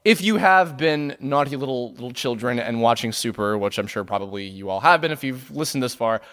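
A man hosts a casual fan podcast in an easy, rambling way. He stops and restarts his sentences often, scatters fillers through his talk, and likes to joke with his guest. His voice sits at an average pitch. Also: expressive, a little fast, fairly bright tone. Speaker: podcast host.

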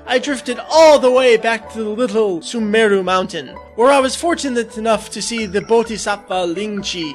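A man's voice: high-pitched, normal speed, few pauses, faint music behind, close to an everyday voice. A man voicing a boastful monkey hero recounting his fight in a dramatic storybook reading. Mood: proud, animated. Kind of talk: dramatic reading from a classic novel.

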